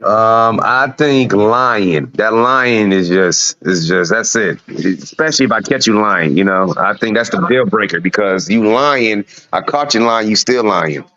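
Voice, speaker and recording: A man's voice: on the low side, animated, measured pace, hardly any pauses, pushed and bright. A man chatting casually as a talk show panelist over lo-fi call-in audio. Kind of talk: casual chat.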